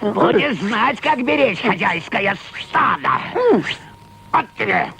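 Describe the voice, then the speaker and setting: An actor voicing a greedy, bad-tempered rich landowner in an animated film, blustering and muttering. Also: male voice, high voice, slow pace, vocal fry, full character voice. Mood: furious. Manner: blustering, exclaiming, muttering to himself. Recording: music behind an old cartoon soundtrack.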